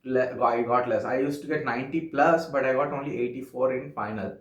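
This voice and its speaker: Young Telugu-accented man, sincere and halting, mid-pitch, roomy echo. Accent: Telugu-accented English. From Andhra Pradesh, India. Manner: earnest, halting, frequent "okay" fillers. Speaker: vlogger. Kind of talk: personal vlog monologue. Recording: echoey room.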